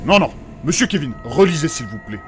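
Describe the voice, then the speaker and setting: A man's voice: fairly high pitch, very animated, fairly quick, slight background noise. A man playing a prim, scrupulous adventurer who is easily scandalised, speaking formally and fussily in a comedic fantasy audio drama. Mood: correcting. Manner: formal, fussy, scolding. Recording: music behind the voice.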